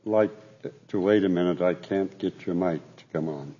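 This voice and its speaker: A man's voice: deep voice, normal speed, mellow, slightly rough. A man presiding over a senate session, brief and procedural as he calls on speakers. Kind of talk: formal chairing of debate. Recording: dull lo-fi chamber audio.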